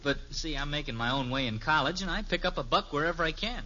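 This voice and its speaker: A man's voice: a little high, expressive, fast, pushed and bright. A man playing a friendly, boyish college student babysitter in a 1950s radio sitcom, chummy and eager. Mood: friendly, breezy. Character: friendly, breezy college boy working as babysitter. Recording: noisy, muffled old radio recording.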